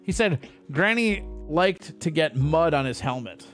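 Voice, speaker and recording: A man's voice: on the high side, animated, a little slow, fairly bright tone, faint music behind. A man hosting a late-night stream, teasing his guest in sarcastic, joking banter with an American accent. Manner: teasing, sarcastic, lots of sighs and groans. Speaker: stream host. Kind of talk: casual comedic banter.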